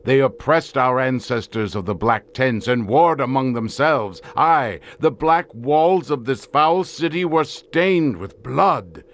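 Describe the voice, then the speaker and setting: A man's voice: mid-range voice, lively intonation, slow, few pauses, a bit bright, slight background noise. An actor playing a grave desert tribesman who solemnly tells of an ancient curse in archaic, portentous language for an audio drama. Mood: dramatic, intense. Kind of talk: theatrical audio drama storytelling.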